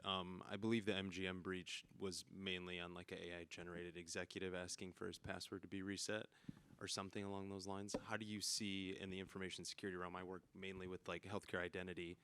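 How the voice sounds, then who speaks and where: A man's voice: deep voice, level tone, fast talker, a bit bright, slight background noise. A man in the audience asking the panel a question about identity security. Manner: inquisitive.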